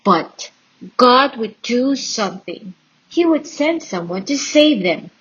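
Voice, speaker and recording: A woman reading a children's Bible story aloud in an expressive, dramatic and deliberate storytelling style. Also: on the high side, breathy edge, lo-fi sound. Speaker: storybook reader.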